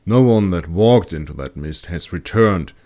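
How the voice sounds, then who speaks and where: A man's voice: low voice, lively intonation, unhurried, mellow. A man playing a bold, curious expedition leader with an assured, explanatory manner in an audiobook. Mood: explaining.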